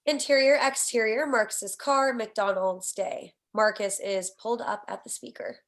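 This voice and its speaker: A woman's voice: on the high side, fairly even intonation, unhurried. Woman narrating screenplay stage directions.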